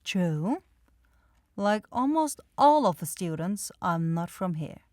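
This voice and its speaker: Woman as a slow-talking, teasing vampire loner girl. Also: on the low side, very animated, darkish tone, dry recording. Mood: wry, amused. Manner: dry, teasing, slow and deliberate. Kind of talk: ASMR roleplay addressed to the listener.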